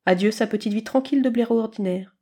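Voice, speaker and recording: Female podfic narrator, medium-pitched voice, expressive scripted storytelling. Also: fast talker.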